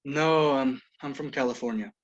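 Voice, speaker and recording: A man's voice: medium pitch, moderate pace, close to an everyday voice. A man playing an uneasy, frustrated young son in a screenplay table read over a video call. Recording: muffled, roomy video call audio.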